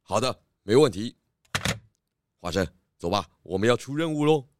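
A man as a low-voiced, calm pig detective. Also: normal speed, background noise, natural voice. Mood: calm, businesslike. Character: male pig detective, calm, dependable, slightly weary. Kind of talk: children's audio drama acting.

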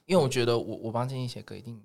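A man's voice: medium pitch, steady tone, rapid-fire, creaky, darkish tone. Taiwanese man chatting earnestly. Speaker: guest music producer and teacher. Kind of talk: casual interview chat.

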